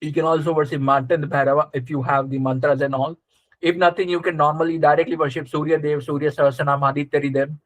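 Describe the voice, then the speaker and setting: A man with a fairly high voice teaches a live class in a conversational way. He explains step by step and often checks with short questions, recorded close and dry. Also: level tone, fast talker, dry recording, rough recording. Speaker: astrology teacher. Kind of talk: live interactive class, explaining and quizzing students.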